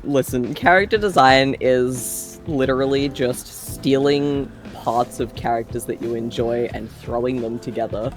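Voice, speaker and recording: A man with an Australian accent, a guest in casual chat, unhurried and self-deprecating, laughing easily. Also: mid-range voice, soft background music.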